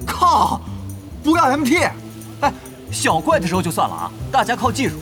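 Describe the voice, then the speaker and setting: A man's voice: extremely high pitch, huge pitch swings, moderate pace, full character voice. A man playing a skeptical, sarcastic young online gamer in an audio drama, with a mocking, dramatic manner. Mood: indignant, challenging. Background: music.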